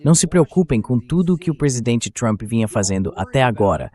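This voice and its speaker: A man's voice: mid-range voice, lively intonation, fairly quick, mellow. A man with a Brazilian accent reading a translated voice-over of political news commentary as the show's host.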